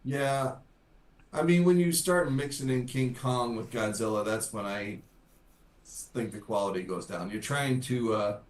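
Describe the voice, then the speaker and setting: Male co-host, measured and halting, echoey room sound. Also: mid-range voice. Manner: measured, hesitant with fillers. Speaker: podcast co-host. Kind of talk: casual chat.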